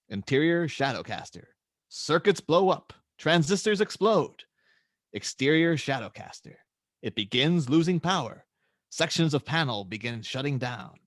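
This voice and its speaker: A man's voice: medium pitch, no room sound, studio quality. A man reading stage directions as the narrator of a dramatic superhero script table read.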